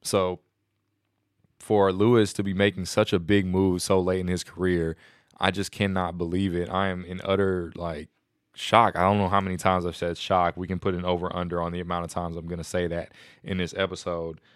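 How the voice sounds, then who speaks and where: A man hosting a casual solo podcast, excited and rambling, a little nasal and sniffly from a cold. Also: low-pitched, normal speed, dry recording, clean studio sound. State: congested from a stomach bug. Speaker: podcast host.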